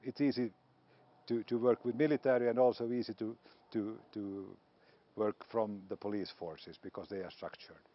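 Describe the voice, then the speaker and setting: A man's voice: fairly low pitch, fairly even intonation, normal speed. A man with a Finnish accent giving interview remarks as a staff officer, hesitant, with many fillers and restarts. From Finland. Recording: slightly dull, dry recording.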